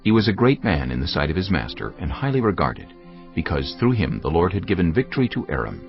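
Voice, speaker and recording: A man with an extremely deep voice narrates scripture. His delivery is solemn yet widely varied, like dramatic storytelling, in a slightly muffled recording with soft music underneath. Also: sing-song, fast talker, creaky. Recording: muffled audio with music behind.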